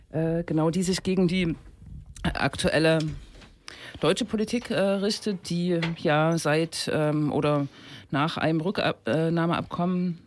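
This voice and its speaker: A man hosting a radio show, talking informally with many fillers as he moves into an interview. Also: on the high side. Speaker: radio host. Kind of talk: casual radio chat and interview.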